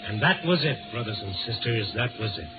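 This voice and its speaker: An actor with an American accent playing a tough, wisecracking newspaper reporter and narrator in a 1950s radio drama, wry and hard-boiled. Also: male voice, mid-range voice, expressive, fairly quick, lightly acted. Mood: hard-boiled narrating. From United States. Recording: tinny vintage radio recording, music behind.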